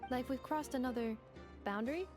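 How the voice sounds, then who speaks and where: A woman acts as a calm, helpful female android from a video game. The voice is fairly high, with formal, exact phrasing and a measured, analytical delivery, over background music. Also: natural voice. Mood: polite, helpful. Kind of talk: video game character dialogue.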